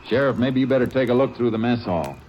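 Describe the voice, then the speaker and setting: An actor playing a steady, matter-of-fact lawman who narrates his case in an old radio drama, with clipped delivery. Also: mid-range voice, fast, muffled recording, slight background noise, lightly acted. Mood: terse. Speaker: narrator and lead. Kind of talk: 1950s radio drama acting.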